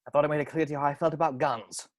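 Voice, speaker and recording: A man's voice: a little high, steady tone, rapid-fire, a little hiss or hum, natural voice. A man voicing a stern, by-the-book male police sergeant at a video call table read, with clipped, earnest delivery.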